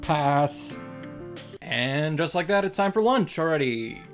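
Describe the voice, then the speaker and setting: A woman's voice: low voice, expressive, slow pace, music in the background. A woman giving casual, sarcastic commentary on a visual novel, reading along and dropping profane asides. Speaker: visual novel reaction host.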